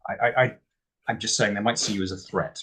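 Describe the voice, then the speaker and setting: A man playing a cautious, wry male traveller in a tabletop game, with a measured, formal and wordy way of talking. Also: fairly low pitch, fairly even intonation, a bit bright, breathy edge. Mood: concerned.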